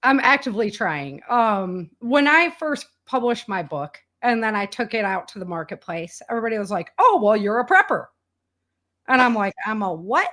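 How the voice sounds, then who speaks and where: An American woman chatting casually as an interview guest, telling stories in an animated way and acting out bits of dialogue. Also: on the high side, moderate pace. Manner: animated storytelling, quoting imagined dialogue. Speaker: guest, author.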